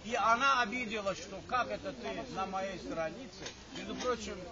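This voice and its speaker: A man's voice: high voice, moderate pace. An older man from Azerbaijan giving a rambling, repetitive speech at an alumni reunion in an assembly hall. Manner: rambling, repeats himself, stops to restart. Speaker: alumni speaker. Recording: dull lo-fi recording with chatter from the audience.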